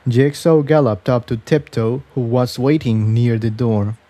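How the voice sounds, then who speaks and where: Unhurried, mellow male story narrator. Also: mid-range voice, steady tone, dry recording.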